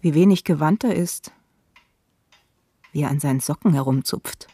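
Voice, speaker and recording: A woman's voice: deep voice, moderate pace, warm tone, breathy edge. An actress playing a gracious, inquisitive society hostess and mother past middle age in a literary radio drama. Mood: wry, musing.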